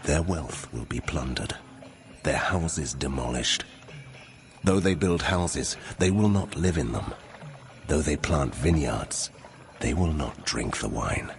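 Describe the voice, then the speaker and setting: An actor voicing a wrathful God pronouncing judgment in solemn, commanding declamation for a dramatized scripture reading. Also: male voice, a bass voice, animated, takes it slowly, noisy background, full character voice. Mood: stern, grave.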